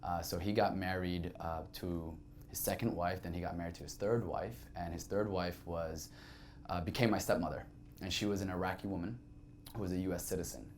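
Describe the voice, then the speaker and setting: A man with a deep, low voice tells his own story as an interview guest. He was born in Saudi Arabia and speaks Arabic as his first language. He talks reflectively and conversationally over a faint low rumble. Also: steady tone, a little fast, darkish tone. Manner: reflective, conversational, many fillers. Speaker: podcast guest. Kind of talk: candid personal storytelling.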